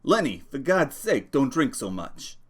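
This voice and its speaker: A man's voice: mid-range voice, sing-song, a little slow, slightly rough, pitched above the performer's own voice. A man voicing a small, irritable working man who scolds and swears, from a storybook. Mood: exasperated. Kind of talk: audiobook character voice.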